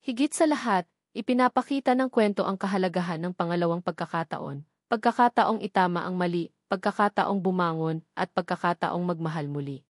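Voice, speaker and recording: A woman's voice: fairly low pitch, fairly quick, no room sound, clean studio sound. A woman reads a scripted story in an earnest, sentimental, formal way. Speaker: storyteller narrator. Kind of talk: scripted dramatic story narration.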